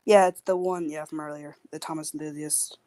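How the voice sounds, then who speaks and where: A woman guest on a live stream, asking questions quickly and a little hesitantly. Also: low voice, fairly even intonation, mellow, dry recording. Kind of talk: casual chat.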